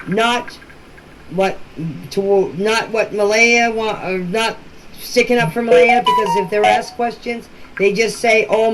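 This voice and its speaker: An older woman talks informally and emphatically in a voice message, plain-spoken and often repeating herself. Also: mid-range voice. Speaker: relative speaking about a missing-children case. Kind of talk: informal personal voice-message talk. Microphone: phone voice message. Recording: noisy amateur voice-message recording.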